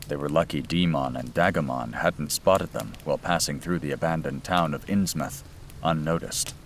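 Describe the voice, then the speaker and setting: A man's voice: extremely low pitch, normal speed. A man reading a scripted bedtime story as its narrator. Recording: clear background noise and ambience.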